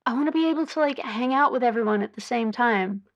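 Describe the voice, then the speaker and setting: Actress doing nervous earnest young woman caller on a radio drama, bit high pitched, chatty w/ lots of filler. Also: fast, lo-fi sound, lightly acted. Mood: worried, frustrated. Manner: hesitant, chatty, with filler words. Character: anxious, earnest young woman calling a radio advice show. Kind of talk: audio drama phone-in.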